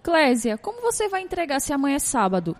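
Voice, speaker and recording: Woman acting strict female nursing instructor, very high-pitched voice, supervising interns, audio drama. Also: big pitch swings, fast talker. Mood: brisk.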